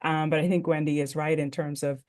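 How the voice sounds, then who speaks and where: A woman from the Bahamas on a panel, thinking aloud in a drawn-out way with many ums. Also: low-pitched, flat delivery, a little mellow. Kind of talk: casual panel discussion.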